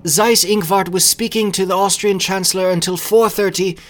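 A man's voice: high voice, steady tone, voice pushed up, natural voice. A man voicing a nervous young military aide with a shaking voice in a history podcast drama. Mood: nervous, fearful. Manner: nervous, halting. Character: nervous young male officer, timid aide reporting upward.

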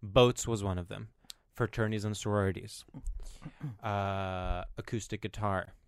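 A man co-hosting a podcast in casual chat, telling stories with quick asides. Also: fairly low pitch, clear, resonant tone, no room sound, clean studio sound. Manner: animated storyteller, quick asides. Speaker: podcast co-host.

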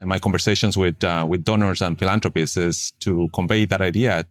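A man's voice: low voice, steady tone, brisk. A man from Chile with a Chilean Spanish accent, Spanish his first language, answering interview questions as a nonprofit fundraiser, hesitant with many fillers. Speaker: pro-life nonprofit fundraiser.

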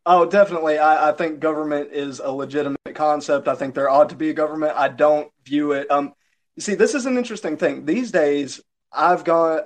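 A man with a Southern American accent, a podcast guest who talks in a thoughtful, well-spoken way with some halting restarts. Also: a little high. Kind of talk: casual conversational interview.

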